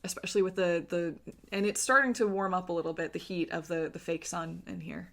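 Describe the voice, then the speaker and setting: A woman narrating a tabletop game as game master, conversational and pausing to search for words. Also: lowish voice, fairly even intonation, gravelly.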